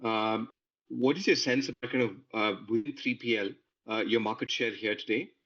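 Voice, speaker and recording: A male financial analyst asking questions on an earnings call in a polite, halting way. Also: on the low side, fairly bright tone. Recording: muffled conference call line.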